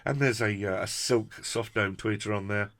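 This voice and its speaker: A man's voice: lowish voice, normal speed, a bit bright. A British man presenting a casual hands-on product review in a chatty, jokey, effusive way with quaint turns of phrase. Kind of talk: casual hands-on unboxing commentary.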